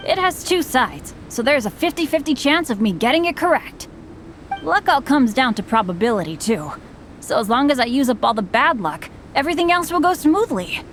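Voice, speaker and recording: A woman's voice: high voice, sing-song, moderate pace, fairly bright tone, slight background noise. A woman voicing a luckless, earnest adventurer boy in a video game, with a boyish, apologetic yet hopeful manner. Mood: upbeat. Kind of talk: animated video game voice acting. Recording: game music behind voice. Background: game music.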